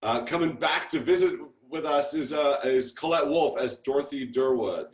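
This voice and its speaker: A man speaks from an echoey room on a video call. His voice sits at a medium pitch, and he talks casually and haltingly, with a self-deprecating manner. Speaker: TV series creator introducing his cast. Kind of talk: casual spoken interview.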